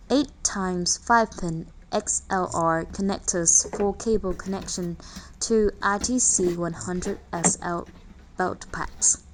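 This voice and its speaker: A woman's voice: on the low side, noisy background. A woman reading a scripted product overview in a formal manner, listing specifications. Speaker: narrator.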